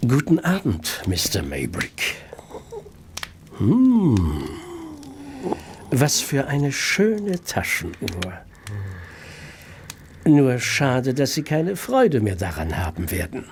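An actor playing a coldly menacing killer in a radio drama, mock-polite, soothing and sinister. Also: male voice, medium pitch, huge pitch swings, noisy background, heavily acted. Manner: very slow, coaxing, sinister.

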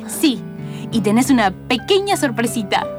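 A doting young child's mother in a radio comedy, with a high voice. She coaxes and soothes in a Rioplatense Argentine accent. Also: very animated, moderate pace, music in the background. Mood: coaxing, affectionate. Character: doting mother coaxing her young daughter. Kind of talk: comedic radio play acting.

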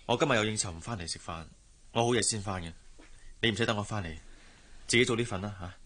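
A man with a Hong Kong Cantonese accent playing a kindly young gentleman employer in a radio drama, gently patronising and brotherly. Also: on the low side, fast talker. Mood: brotherly, casual.

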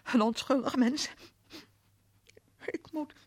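A woman's voice: sits high, slow pace, slightly rough, dry recording, full character voice. An actress playing a volatile, guilt-haunted woman killer in a radio drama, given to muttering and stammering. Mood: anguished horror. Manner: reads aloud, muttering bitter asides, breaking into anguished stammering. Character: distraught woman killer, scornful, then horrified by guilt.

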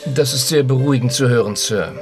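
A man's voice: mid-range voice, a little fast, music in the background, close to an everyday voice. A man in a radio play acting a learned, deferential private secretary who speaks in a formal, precise way. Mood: dutiful.

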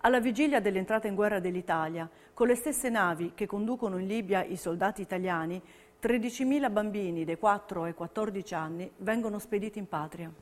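A woman narrating scripted documentary theatre in a solemn, measured way. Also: mid-range voice. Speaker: stage narrator.

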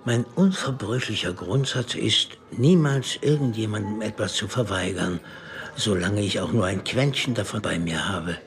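An actor playing a shabby old anarchist bomb maker in a radio drama, speaking in a terse, measured, self-satisfied way. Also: male voice, on the low side, faint music behind, natural voice. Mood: cool, smug.

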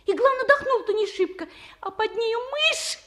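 An actress playing an excitable, naive young secretary in a 1950s Soviet radio drama, with a breathless, girlish manner. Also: very high voice, moderate pace. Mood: excited, awed.

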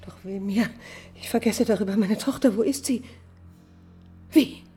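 A woman's voice: mid-range voice, normal speed, dark tone, slightly rough, faint music behind. An actress playing a distraught, fierce noblewoman and mother, declaiming tragically and accusingly in a mid-century radio drama. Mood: anguished.

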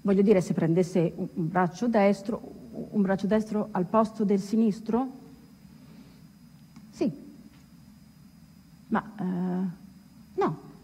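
A woman's voice: mid-range voice, slow, long pauses, mellow, a little hiss or hum, rough recording. An actress performing a wry, agitated male storyteller in a theatrical monologue.

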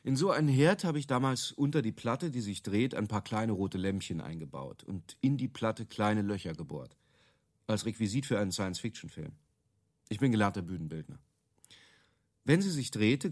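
A cynical male inventor explaining his trade in a radio drama interview. His voice is fairly low and swings animatedly. He is wry and sure of himself, in a conversational way. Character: cynical, self-assured male inventor of useless product features being interviewed.

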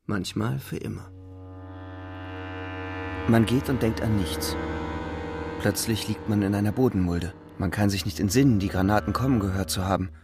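An actor playing a sombre young soldier who recounts the trenches in a literary radio drama, measured and grave. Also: male voice, fairly low pitch, creaky, music in the background. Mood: grave, intense. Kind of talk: literary first-person radio drama narration.

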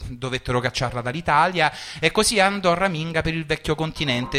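Bright-voiced man telling wry stories. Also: fairly high pitch. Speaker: stage performer. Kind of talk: theatrical storytelling, ironic biographical monologue. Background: music.

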